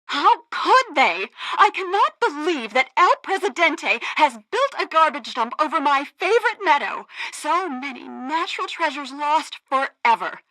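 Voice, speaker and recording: Sincere, nature-loving news co-host: highish, very animated voice, theatrical game radio. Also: female voice, a little slow, few pauses, no room sound, exaggerated voice, poor audio. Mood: heartbroken, outraged. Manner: earnest, expressive. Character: earnest, nature-loving female radio co-host, drily exasperated.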